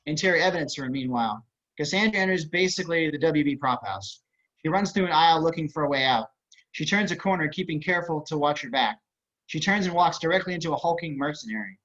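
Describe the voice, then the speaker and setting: A man's voice: fairly high pitch, expressive, fast, a bit bright. A man narrating stage directions at an online table read, with wry, casual asides. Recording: dull video-call audio.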